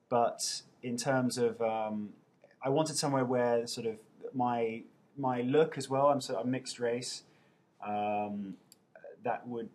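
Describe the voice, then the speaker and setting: A man's voice: lowish voice, darkish tone, a little hiss or hum. A man in his thirties, an actor, chatting casually on a webcam as he reads out viewer questions and answers them with playful self-mockery. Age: 30s. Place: indoors at home. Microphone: webcam mic.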